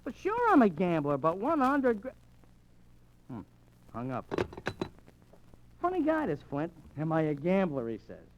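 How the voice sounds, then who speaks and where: A man playing a slangy, streetwise small-time American bookie in an old-time radio drama, chatty and animated. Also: high voice, a little slow, long pauses, highs cut off, a little hiss or hum, exaggerated voice. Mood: brisk, pushy.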